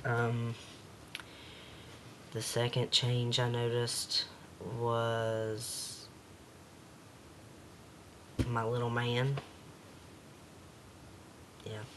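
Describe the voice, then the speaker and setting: A man with a slightly raspy voice talking hesitantly in a casual home video diary, with many pauses and fillers. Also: medium pitch, completely flat delivery, a bit bright, slight background noise.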